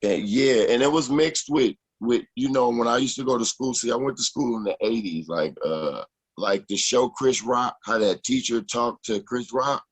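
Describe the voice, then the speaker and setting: A man in his forties, a guest on an interview show, telling stories about his childhood with animated storytelling. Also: medium pitch, normal speed, slightly rough, rough recording. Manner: animated storytelling with acted quotes.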